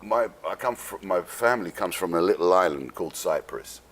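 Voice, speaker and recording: A man from London with a London English accent tells stories casually as an interview guest, rambling and halting in a streetwise, sweary way. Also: low voice, expressive, fairly bright tone. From London, England.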